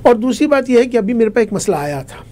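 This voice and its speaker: A man's voice: very high voice, animated, fast, warm tone. A man from India, an imam answering in a conversational interview, emphatic and repetitive, often posing rhetorical questions.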